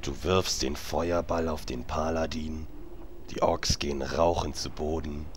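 A man's voice: extremely low pitch, fairly even intonation, a little slow, background noise. A man acting an exasperated game master in a comedy audio drama, explaining things in a weary, impatient way. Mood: weary narrating. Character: exasperated male game master of a tabletop group.

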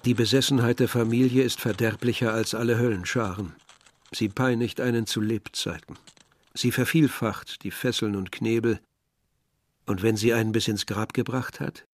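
An actor playing a bitter, brooding young man who narrates a literary radio play in a measured, reflective way, with a husky, gravelly voice. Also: fairly low pitch, fairly even intonation, dry recording, studio quality.

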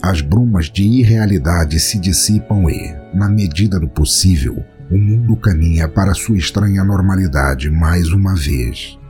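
A man's voice: deep voice, a little slow, hardly any pauses, warm tone, soft background music. A man with a Brazilian accent doing scripted storytelling and podcast hosting in a theatrical, florid manner. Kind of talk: scripted audio-drama narration and hosting.

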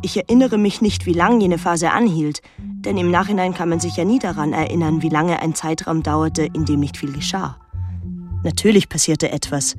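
An actress playing a reflective daughter who narrates a literary radio drama in a measured, introspective way. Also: female voice, on the low side, darkish tone, natural voice. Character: reflective daughter recalling her reclusive painter mother.

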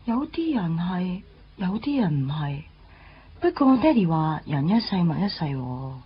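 A woman's voice: on the low side, big pitch swings, measured pace, slight background noise. An actress playing a bold, teasing teenage schoolgirl in a Hong Kong Cantonese radio drama. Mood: coy. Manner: blunt, teasing, girlish. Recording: slightly dull lo-fi radio audio.

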